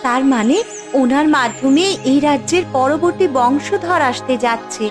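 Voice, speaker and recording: A woman's voice: normal speed, music in the background, close to an everyday voice. A woman voicing a scheming spirit yearning for rebirth in a fairy-tale cartoon, theatrical and determined. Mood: eager, determined. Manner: high-pitched, theatrical, determined.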